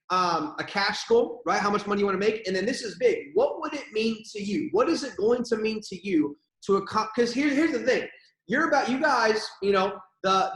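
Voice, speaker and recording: A young man giving an energetic, repetitive motivational pep talk to his team as a network marketing leader. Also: high-pitched, roomy sound, low-quality recording.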